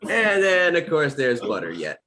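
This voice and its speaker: A man in a casual chat, speaking in a halting, joking way. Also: on the high side, wildly swooping pitch, crowd noise.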